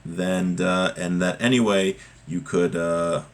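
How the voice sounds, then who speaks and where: A man's voice: sits low, fairly even intonation, slow. A man giving an informal religious class, reading from a text and explaining it point by point, often rephrasing and repeating. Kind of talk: informal text lesson, reading aloud then translating and explaining.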